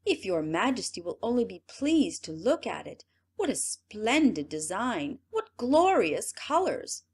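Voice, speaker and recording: A woman's voice: mid-range voice, very animated, a little slow, a little mellow, husky, exaggerated voice. A woman voicing fawning male court officers in a storybook, gushing with exaggerated praise.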